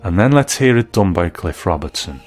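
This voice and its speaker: A man's voice: deep voice, lively intonation, normal speed, a little mellow. A man presenting scripted documentary commentary, introducing and explaining clips in an orderly way. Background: music bed.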